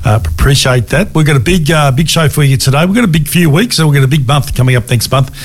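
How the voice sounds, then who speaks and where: A man with an Australian accent co-hosting a breakfast radio show, his voice croaky from lack of sleep, chatting casually with many fillers. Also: a little high. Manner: relaxed, halting, many fillers. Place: radio studio.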